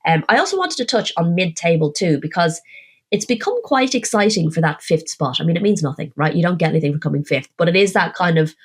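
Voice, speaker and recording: A woman, co-hosting a casual football podcast with a bunged-up, nasal, croaky voice, chatty and self-mocking as she runs through the scores. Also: on the low side, animated, quick pace. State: congested, croaky voice. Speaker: podcast co-host.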